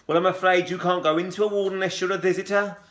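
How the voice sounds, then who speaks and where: A man's voice: high voice, steady tone, quick pace, a bit bright, pitched above the performer's own voice. A man doing an unhelpful hospital receptionist woman. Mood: dismissive. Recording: roomy echo.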